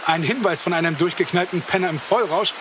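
A man's voice: sits high, fast talker, noisy background, lightly acted. A man playing a nervous young man who stammers and hesitates. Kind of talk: audio drama acting.

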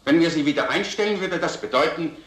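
An actor playing a stern police commander, formal and authoritative, in an old crime film. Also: male voice, a little high, fast, fairly bright tone, close to an everyday voice. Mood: stern, dismissive. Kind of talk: vintage German crime film acting. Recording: roomy old film soundtrack.

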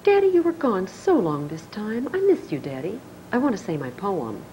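An eager, clingy little girl begging for attention, as a woman puts her on in a stage reading. Her voice jumps high and pleads. It swoops in a lively way. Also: moderate pace, slight background noise, rough recording.

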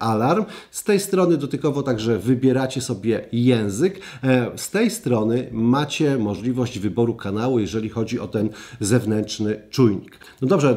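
A man in his fifties sits at a table in his living room and talks viewers through a gadget review. His voice sits at an everyday middle pitch, and he keeps up a chatty, direct manner dotted with filler sounds. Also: mellow. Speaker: tech reviewer. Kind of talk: product review talking to camera. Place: living room at home, indoors.

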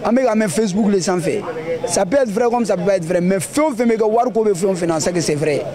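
A man's voice: sits high, fast. A young man answering a street interview at an outdoor market, emphatic and repeating his points. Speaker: passerby interviewee. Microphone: handheld mic held by interviewer. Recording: crowd noise of a busy market.

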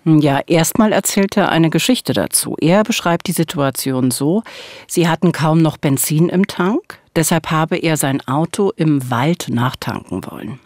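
A woman's voice: low voice, animated, measured pace, darkish tone, dry recording. A middle-aged woman narrating a true-crime podcast as co-host at a studio microphone. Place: small radio studio. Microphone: studio microphone, headphones.

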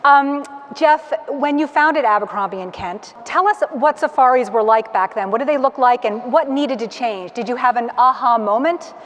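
A woman's voice: a little high, moderate pace, few pauses. A middle-aged woman moderating an onstage conference interview, friendly, asking long questions in several parts. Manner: friendly, asks long multi-part questions. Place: large hangar-like conference hall with audience. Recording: long reverb of a large hall.

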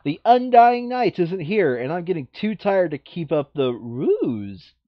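A tired, rotund goblin trickster from a fantasy board game played by a man, pitched well up and swinging about. The delivery is weary and jaunty, on a dull, lo-fi mic. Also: very animated, unhurried, no room sound. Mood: weary, conceding. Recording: dull, lo-fi voice chat microphone.

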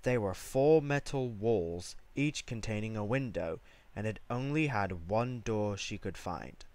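A man's voice: lowish voice, lively intonation, unhurried, a little mellow, dry recording. Male story narrator, dry close sound. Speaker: fan fiction reader.

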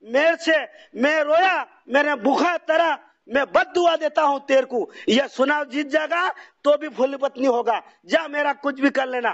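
Very high-pitched aggrieved man on a phone. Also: unhurried, a bit bright. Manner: aggrieved, ranting, repetitive. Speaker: phone call participant. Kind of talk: heated phone conversation. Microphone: telephone. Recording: dull lo-fi phone call recording.

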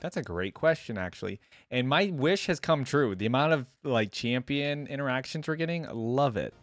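A man's voice: medium pitch, lively intonation, moderate pace, soft background music. A young man giving casual, conversational reaction commentary as a video host, musing aloud. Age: young adult. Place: indoor desk, voice close to microphone. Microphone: desk microphone close to mouth.

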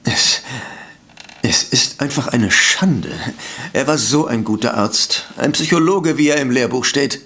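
A man's voice: mid-range voice, lively intonation, unhurried, pushed and bright. An actor playing a polite, defensive male sanatorium director in a detective radio play, speaking formally and hesitantly. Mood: regretful. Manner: formal, hesitant, self-justifying. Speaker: voice actor in audio drama.

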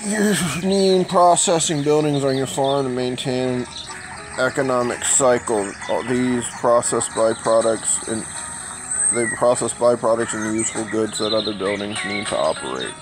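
A man casually talking over a mobile farming game he plays, halting and full of fillers. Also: medium pitch, music in the background, poor audio. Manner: slow, halting, with many fillers. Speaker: gaming channel host.

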